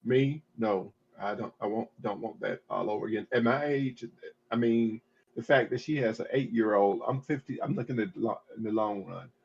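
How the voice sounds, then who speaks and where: A man's voice: on the low side, moderate pace, vocal fry, a little mellow, slight background noise. A man in his fifties talking casually on a podcast, rambling with many restarts and repeated "I mean"s. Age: 50s. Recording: dull lo-fi audio.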